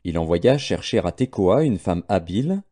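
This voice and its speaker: A man reading scripture aloud as scripted audiobook narration, with a dark, mellow tone. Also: low voice, sing-song, normal speed. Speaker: audiobook Bible reader.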